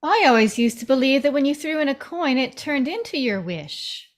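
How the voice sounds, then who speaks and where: A woman acts a questioning character in an adventure film script. Her voice sits a little high, and she reads it as part of a table read. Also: lively intonation, normal speed. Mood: wistful.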